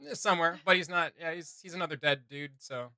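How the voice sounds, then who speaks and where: A man's voice: on the high side, fast talker, sharp tone, no echo, studio quality. A man hosting a reaction video with casual, off-the-cuff commentary, blunt and sarcastic as he rambles.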